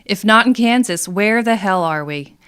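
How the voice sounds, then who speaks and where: A woman's voice: mid-range voice, expressive, moderate pace, a bit bright, clean tone, lightly acted. A woman playing an inquisitive, easily exasperated amateur philosopher in scripted comedic radio theatre. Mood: musing.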